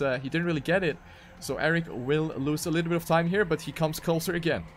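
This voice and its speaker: A man's voice: a little high, fairly bright tone. A man giving live commentary on a racing video game as an esports caster.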